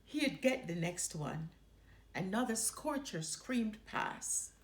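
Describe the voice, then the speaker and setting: A woman reading a children's storybook aloud with expressive, dramatic delivery. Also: medium pitch, takes it slowly. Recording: roomy echo.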